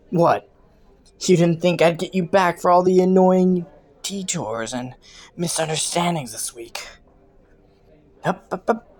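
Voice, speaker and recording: Low-voiced, flirty, smug criminal informant played by a woman. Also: a little mellow. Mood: smug teasing. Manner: teasing, playful, drawn-out words with chuckles and sighs. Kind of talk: scripted roleplay audio, intimate second-person monologue.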